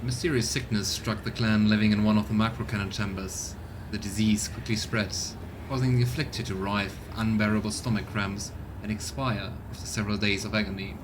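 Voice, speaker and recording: A man's voice: fairly low pitch, flat delivery, brisk, background noise, lightly acted. A man reading scripted narration aloud as the solemn narrator of a grim space game.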